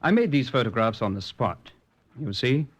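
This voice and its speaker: An actor playing a methodical, deferential police evidence expert in an old radio drama, explaining precisely. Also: male voice, medium pitch, big pitch swings, moderate pace, breathy edge. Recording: dull, lo-fi old radio recording.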